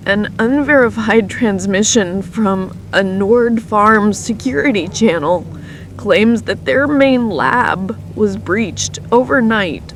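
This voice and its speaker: A woman playing a weary emergency radio announcer in an apocalypse radio drama, speaking in a grave, deliberate manner. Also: medium pitch, expressive, a little hiss or hum, rough recording. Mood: grave, steady. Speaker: radio news broadcaster. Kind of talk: scripted radio drama broadcast.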